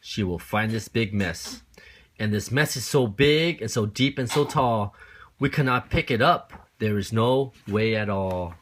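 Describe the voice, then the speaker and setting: A man's voice: fairly low pitch, unhurried, a bit bright, a little hiss or hum. A man voicing an anxious little pet fish, fretful, insistent and scolding, in a children's picture-book read-aloud. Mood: worried.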